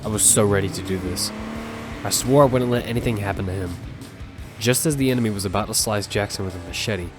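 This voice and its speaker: A man's voice: on the low side, fast, lightly acted. An actor narrating as an earnest young squad leader telling his own story in an audio drama. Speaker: narrator and lead character. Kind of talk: first-person audio fiction narration. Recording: music bed underneath.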